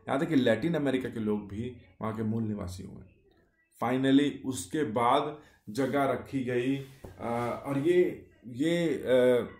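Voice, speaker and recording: A man's voice: mid-range voice, creaky, darkish tone. Man explaining in an echoey room. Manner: talks in short clauses, many fillers and rhetorical questions. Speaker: YouTube explainer. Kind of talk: casual explainer monologue.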